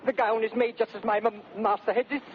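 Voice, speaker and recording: An actor playing a timid, flustered tailor in 1930s radio Shakespeare, with a heavy comic stammer and a British accent. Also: male voice, high voice, steady tone, fast talker, close to an everyday voice. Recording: muffled, noisy vintage 1930s radio broadcast.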